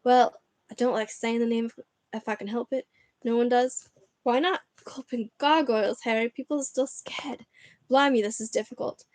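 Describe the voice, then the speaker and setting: A woman voicing a huge, gruff male giant groundskeeper with rough, folksy speech. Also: on the high side, steady tone, normal speed, a little mellow, no echo, close to an everyday voice. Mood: uneasy.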